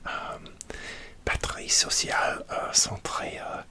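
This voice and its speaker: A man whispering a casual ASMR chat, halting and hesitant, often pausing to search for words. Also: bright, forceful tone. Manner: halting, hesitant, many fillers and restarts; slips in grammar, searches for words. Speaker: ASMR creator.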